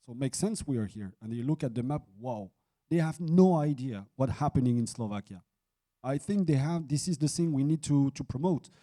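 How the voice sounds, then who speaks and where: A man speaking on a business conference panel as a site leader, halting, with many restarts and fillers. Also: medium pitch, expressive, mellow. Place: conference hall.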